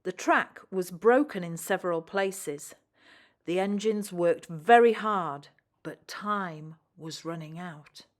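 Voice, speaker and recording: A woman's voice: on the low side, slow pace. A woman storyteller reading a children's story expressively and talking to young listeners.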